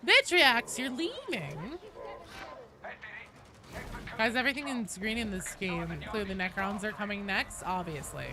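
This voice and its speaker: A woman's voice: mid-range voice, brisk, hardly any pauses. A woman chatting casually as a game streamer, informal and sweary, joking dryly with her viewers. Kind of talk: casual chat with viewers, reading and answering comments.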